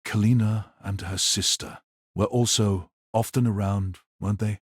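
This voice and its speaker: A man playing a gloomy, hoarse dressmaker mage who questions in a measured way, in an audiobook drama. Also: fairly low pitch, steady tone, dry recording, studio quality. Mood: quiet, probing. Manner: measured, probing. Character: gloomy, probing male dressmaker mage devoted to beauty.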